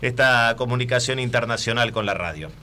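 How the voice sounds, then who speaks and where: A man's voice: mid-range voice, a little fast, pushed and bright. A middle-aged man with an Argentine accent, hosting a casual radio interview in a small studio, chatty and playful. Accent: Argentine Spanish. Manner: chatty, gestures broadly, playful. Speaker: radio host. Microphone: headset with desk mic.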